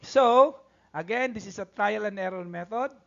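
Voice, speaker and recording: A man's voice: high voice, moderate pace, gravelly. A man with a Filipino accent, a native Bisaya speaker, teaching step by step in a repetitive, instructional manner. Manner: instructional, repetitive, checks in often. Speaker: math teacher. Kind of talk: tutorial lesson, code-switching explanation. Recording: dull, lo-fi voice-over recording.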